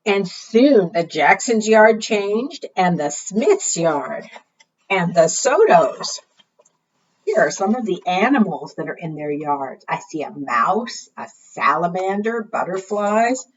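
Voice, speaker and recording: Expressive low-voiced woman reading to children, slightly husky. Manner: asks the young listeners frequent simple questions; expressive, deliberate teacherly delivery. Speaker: children's librarian hosting preschool storytime. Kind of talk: read-aloud storytelling for young children. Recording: muffled, distant microphone.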